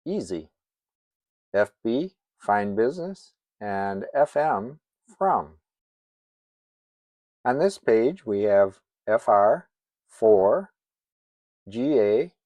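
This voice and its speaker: Slow-talking, low-voiced older man. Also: warm tone, dry recording. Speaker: amateur radio operator presenting a tutorial. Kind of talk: informal instructional narration, reading out a list.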